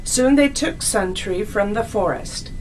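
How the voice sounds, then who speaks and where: A woman narrating a scripted children's story as a storyteller, in a deliberate, expressive read-aloud manner. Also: medium pitch, a little hiss or hum, rough recording.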